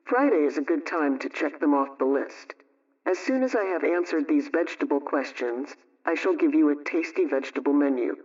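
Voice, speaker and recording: Distant, dull radio sound, higher-voiced male presenter. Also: normal speed. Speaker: radio household advice presenter. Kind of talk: scripted radio question-and-answer reading.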